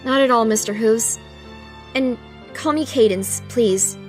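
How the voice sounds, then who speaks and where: A woman as high-voiced, gracious pony princess. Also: unhurried, faint music behind, natural voice. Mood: polite, concerned. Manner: polite, earnest, pleading. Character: gracious, worried pony princess, devoted wife. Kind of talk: fan audio drama acting.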